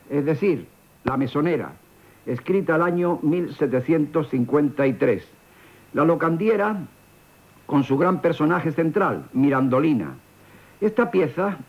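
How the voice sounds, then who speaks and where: A man's voice: fairly high pitch, vocal fry. A man giving formal, erudite scripted commentary as a theatre critic on 1970s radio. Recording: thin phone-like 1970s radio sound.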